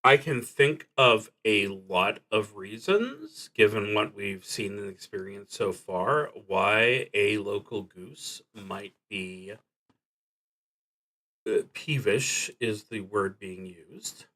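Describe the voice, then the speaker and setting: Guy streaming a solo rpg, kinda low voice, real slow, thinks out loud w lots of pauses. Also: expressive, low-quality recording. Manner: hesitant, thoughtful, frequent long pauses. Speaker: streamer, solo roleplaying game player. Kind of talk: musing aloud while playing a solo tabletop game, reading out card prompts.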